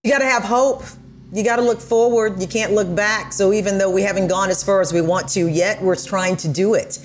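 A woman's voice: mid-range voice, steady tone, brisk, a little hiss or hum. A woman guest on a podcast reflecting conversationally in an earnest, encouraging way.

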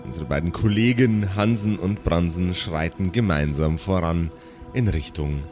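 A man's voice: deep voice, unhurried, a little mellow, soft background music. A man acting as game master and host, bantering and improvising stories in a casual, jokey way. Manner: jokey, playful.

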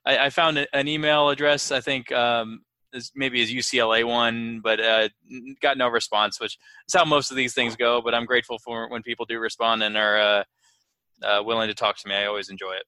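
A man's voice: medium pitch, fairly even intonation, a little fast, sharp tone, clear, resonant tone, no echo, poor audio. A man hosting a podcast in a casual, conversational interviewing style. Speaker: podcast host.

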